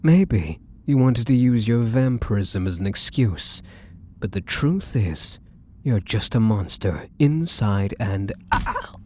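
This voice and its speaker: A man playing a defiant, protective vampire in an ASMR roleplay, with husky, breathy delivery and theatrical, formal phrasing. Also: on the low side, expressive, slow pace, a little mellow, slight background noise. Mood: bitter accusation. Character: defiant, protective young male vampire, bitter and menacing. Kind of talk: ASMR audio roleplay acting.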